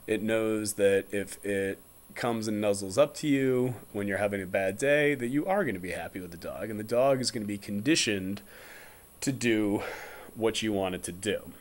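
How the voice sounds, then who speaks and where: A young American man in his twenties speaks in a slightly low voice. He lectures informally, explaining ideas deliberately, restating them and pausing to check that listeners follow. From Connecticut, United States. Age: 20s. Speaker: philosophy lecturer.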